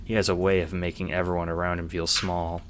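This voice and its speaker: A man's voice: low voice, level tone, brisk, a bit bright, a little hiss or hum. A man reading scripted second-person narration for an audio drama.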